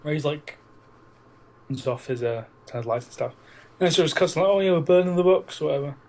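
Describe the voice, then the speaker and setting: A man's voice: a little high, expressive, fairly quick, a little mellow. A man co-hosting a podcast, chatting casually and bluntly about films, with frequent swearing. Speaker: podcast co-host. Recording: noisy amateur podcast recording.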